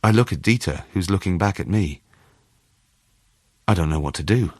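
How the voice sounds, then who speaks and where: A man narrating a first-person short story in a measured, expressive storytelling manner. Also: deep voice, no echo, studio quality. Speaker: audiobook narrator.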